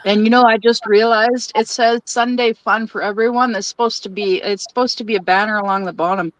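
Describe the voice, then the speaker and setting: A woman's voice: on the high side, fairly even intonation, brisk, no room sound, low-quality recording. A woman hosting a casual live stream while crafting, chatty and giggly, laughing between her rambling phrases. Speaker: livestream host.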